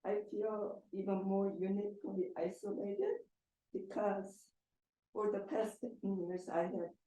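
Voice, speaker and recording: A woman whose first language is Korean asks the panel a question in an earnest, halting way, pausing to find her words. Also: mid-range voice, warm tone. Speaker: audience member asking a question. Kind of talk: personal question at a public Q&A. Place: large echoey event hall. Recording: roomy hall echo.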